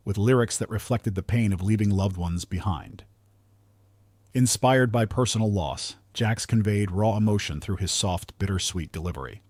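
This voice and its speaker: A man's voice: on the low side, darkish tone, no echo. A man narrating a scripted countdown in a polished, earnest delivery, as the narrator.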